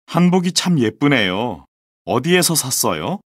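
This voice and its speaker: A man reading scripted textbook dialogues and sentences with clear, careful enunciation, in a dry studio recording. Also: medium pitch, very animated, unhurried, no echo. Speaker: textbook audio voice actor.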